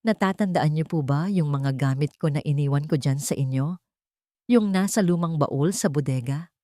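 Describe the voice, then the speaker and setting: A woman's voice: deep voice, moderate pace, warm tone, no room sound, studio quality, pitched below the performer's own voice, lightly acted. A woman with a Filipino accent voicing an anguished young housemaid mother in an audio drama, pleading, hesitant and deferential. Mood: anxious pleading.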